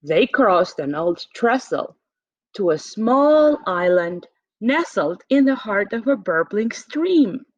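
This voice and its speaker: A woman reads a children's story aloud at an unhurried pace. Her delivery is very animated, with dramatic pauses and emphasis. The recording is slightly dull and muffled. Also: mid-range voice, dry recording. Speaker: storybook reader. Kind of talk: expressive children's picture book read-aloud.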